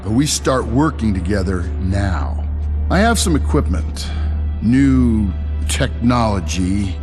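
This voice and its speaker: A man's voice: fairly low pitch, expressive, over music. An actor playing a scheming, controlling businessman in a video game, speaking curtly and with command. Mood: scheming, firm. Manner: deliberate, curt, commanding. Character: controlling, scheming male businessman making a secret deal.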